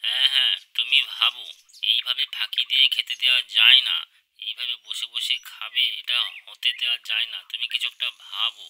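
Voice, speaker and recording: A man's voice: mid-range voice, little pitch movement, fairly quick, bright, forceful tone, poor audio. A man voicing an aggrieved hunting animal in a children's cartoon, complaining and insisting on justice. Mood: pleading. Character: aggrieved hard-working animal hunter pleading for justice.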